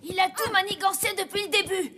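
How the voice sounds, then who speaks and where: A woman's voice: very high voice, brisk. A woman playing an alert, bossy zombie child in a children's cartoon, urgent and assertive. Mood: alert, insistent. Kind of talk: French children's cartoon dubbing.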